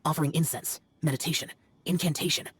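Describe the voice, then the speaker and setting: A man playing a stern, aloof, youthful immortal demon slayer in a video game, with solemn, formal, measured delivery. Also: a little high, steady tone, heavy background noise, natural voice. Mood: solemn instruction. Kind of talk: scripted video game dialogue.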